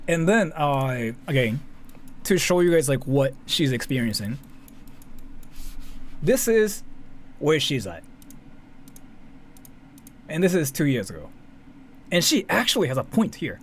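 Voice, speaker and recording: Japanese accent guy doing rambly streamer commentary, kinda high voice swooping all over, repeats himself a lot, bit of bg noise. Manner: rambling, repetitive, self-interrupting, swears casually. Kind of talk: casual live reaction commentary. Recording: faint background noise.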